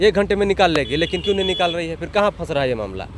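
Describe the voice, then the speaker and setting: High-voiced, blunt man over street noise. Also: sing-song, racing. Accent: Jharkhand. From Jharkhand, India. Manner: blunt, exasperated, colloquial, rhetorical questions. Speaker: interview guest, online channel owner. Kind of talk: opinionated street interview. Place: outdoors in a town, near a road. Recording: clear outdoor street and traffic noise.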